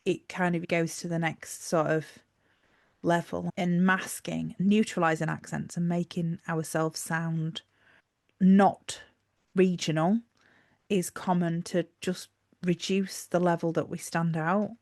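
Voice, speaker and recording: A woman's voice: fairly low pitch, level tone, takes it slowly, gravelly, darkish tone, no room sound, studio quality. A woman with a Yorkshire accent, co-hosting a casual podcast chat and explaining her thoughts with fillers and restarts. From Yorkshire, England. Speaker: podcast co-host.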